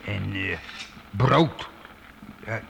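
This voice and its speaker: A man playing a talkative, anxious medieval gatekeeper in a Dutch radio drama, speaking haltingly and earnestly with a gravelly voice. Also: fairly low pitch, fairly bright tone, heavily acted. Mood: hesitant pleading. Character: talkative, anxious, pleading medieval castle gatekeeper with big belly. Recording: radio play with faint background noise.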